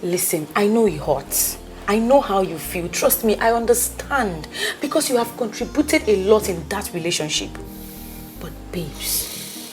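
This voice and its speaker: A woman's voice: medium pitch, natural voice. A woman with a Nigerian accent, acting a loyal best friend who insistently talks her heartbroken friend up. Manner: emphatic, insistent, coaxing pep talk. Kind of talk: skit acting.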